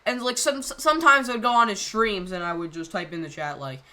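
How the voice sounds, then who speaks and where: Woman, casual commentary, rambling, filler-heavy, bright and forceful tone. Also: mid-range voice. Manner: rambling, halting, full of fillers. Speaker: channel creator.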